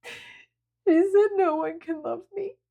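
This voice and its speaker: Woman acting tearful, self-loathing young woman, pitched high. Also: fairly even intonation, slow pace, warm tone, highs cut off, heavily acted. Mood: anguished, sobbing. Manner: sobbing, pleading, self-accusing. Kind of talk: dramatic audiobook character acting.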